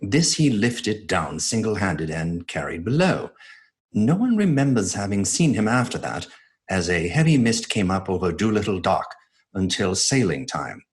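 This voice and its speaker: An actor playing an earnest Victorian gentleman who narrates reports of a vampire hunt in a radio drama, measured and formal. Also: deep voice, very animated. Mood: grave, reporting. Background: soft music.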